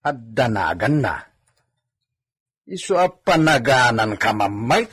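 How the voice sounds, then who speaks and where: A man's voice: medium pitch, very animated, takes it slowly, fairly bright tone, breathy edge, full character voice. A man playing a self-pleased village farmhand musing aloud to himself in an Ilocano radio comedy drama. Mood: pleased, chuckling.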